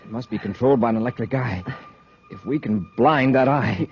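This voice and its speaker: A man's voice: mid-range voice, fairly quick, close to an everyday voice. An actor playing a brave, decisive male space patrol commander in 1950s radio drama style, authoritative, earnest and urgent. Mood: urgent, strained. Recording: muffled old radio recording, faint noise.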